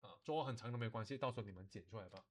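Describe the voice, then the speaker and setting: A man gives an informal, step-by-step software tutorial to students, switching between languages and often checking that they follow. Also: lowish voice, very fast. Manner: switches between Mandarin and English, repeating explanations. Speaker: animation software instructor.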